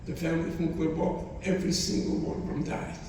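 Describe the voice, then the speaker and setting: Brief: elderly man in his 90s, non-native English, halting and repetitive, a mellow low voice picked up from far off in a boomy hall. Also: little pitch movement, a little hiss or hum. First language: not English. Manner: halting, repeats phrases, speaks with feeling. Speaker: Holocaust survivor speaker. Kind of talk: testimony to students. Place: college hall.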